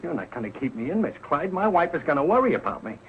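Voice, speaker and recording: A man's voice: fairly high pitch, very animated, quick pace. An actor playing a blustering, good-hearted working-class family man with a slangy American accent in a vintage sitcom. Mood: indignant, pleading. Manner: slangy, plain-spoken. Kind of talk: 1940s television sitcom acting. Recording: muffled, hissy old 1940s broadcast.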